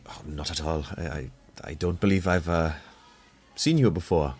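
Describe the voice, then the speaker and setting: A man's voice: very deep voice, normal speed, darkish tone, slightly rough, exaggerated voice. A man playing a kindly, bashful German professor in an audio play, speaking with a German accent in a hesitant, polite way. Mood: flustered, apologetic. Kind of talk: audio drama acting.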